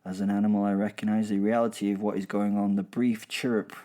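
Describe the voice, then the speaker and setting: A man playing a sentimental werewolf killer, telling his story in a halting, dramatic way with repeated words and pauses, for a horror audio drama. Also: fairly low pitch, little pitch movement, dark tone, lightly acted. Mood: wonder, wry. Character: male werewolf killer, sentimental, menacing, wryly wistful. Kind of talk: dramatic first-person storytelling.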